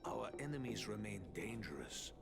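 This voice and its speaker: Wise old rat master, gravelly, grave and formal, voice moves a lot, game cutscene. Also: male voice, on the low side, very animated, moderate pace, heavily acted. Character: wise, solemn old rat sensei and father. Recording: under loud game music.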